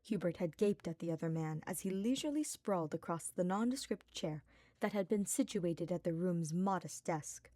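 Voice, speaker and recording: A woman's voice: on the low side, lively intonation, normal speed, warm tone, no echo, clean studio sound. A woman reading scripted fiction aloud as a narrator. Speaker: audiobook-style fan fiction narrator.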